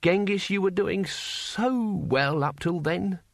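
A fussy male schoolmaster played by a man narrating an audiobook, patient and precise. He coaxes and corrects in a raised pitch, recorded very dry. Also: slow, gravelly, dry recording, heavily acted. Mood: weary reproach. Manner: precise, didactic, coaxing. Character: patient, fussy male schoolmaster civilizing unruly old warriors. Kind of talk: audiobook character acting.

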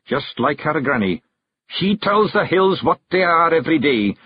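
A man voicing a tiny fairy clan chief with a Scottish accent, brooding, proud and gruff, in a storybook. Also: on the high side, big pitch swings, normal speed, lo-fi sound, voice pushed up. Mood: heavy-hearted. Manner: gruff, dramatic, stubborn.

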